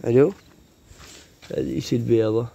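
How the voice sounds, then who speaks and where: A hoarse man with an Iraqi Arabic accent, chatting casually to viewers while filming his rooftop pigeon loft on a phone. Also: mid-range voice, normal speed, dark tone, muffled recording. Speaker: pigeon keeper vlogger. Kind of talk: casual vlog. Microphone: phone camera held while walking.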